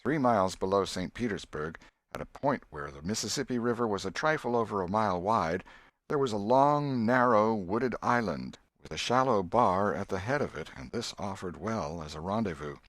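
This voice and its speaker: Male audiobook narrator, deep register, measured and expressive, close dry sound. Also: no room sound. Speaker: audiobook reader. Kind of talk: scripted narration of a classic novel.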